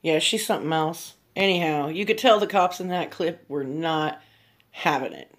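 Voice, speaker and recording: A woman with a slightly low voice hosts a true crime commentary. She speaks straight to her viewers in a blunt, disapproving way. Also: normal speed.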